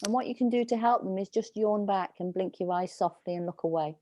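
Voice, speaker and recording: A woman dog trainer giving slow, soothing instructions over a video call, with a calming voice. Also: medium pitch, lo-fi sound, a little hiss or hum. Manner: soft, slow, soothing coaching. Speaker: dog trainer leading a workshop.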